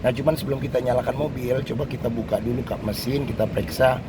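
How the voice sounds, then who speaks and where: Hoarse man reviewing a used car. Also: mid-range voice, fairly even intonation. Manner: unhurried, many fillers and "nah". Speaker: used car dealer host. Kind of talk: walkaround car review with buying tips. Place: car showroom with traffic outside. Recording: loud ambient street and vehicle noise.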